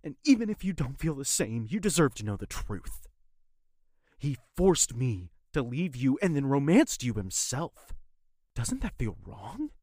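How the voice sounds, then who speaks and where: A very low, husky young man's voice, acted by a woman. He is an earnest, wounded former lover in an audio drama, urgent and pleading. The delivery swings widely in pitch with intense feeling. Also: very animated, unhurried, mellow, dry recording, clean studio sound, full character voice. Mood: hurt, pleading.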